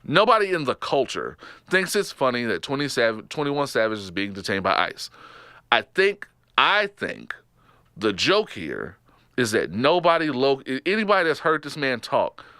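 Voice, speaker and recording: A man's voice: medium pitch, moderate pace, dry recording, studio quality. A man from Texas with a Texas accent, co-hosting a podcast in casual, joking chat that often breaks into laughter. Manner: animated, joking, laughs often, trails off and restarts. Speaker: podcast co-host.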